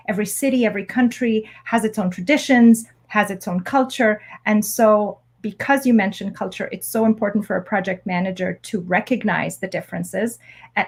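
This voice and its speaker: Articulate woman explaining, measured. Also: mid-range voice, breathy edge. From Egypt. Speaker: guest, engineering academic. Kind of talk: interview answers.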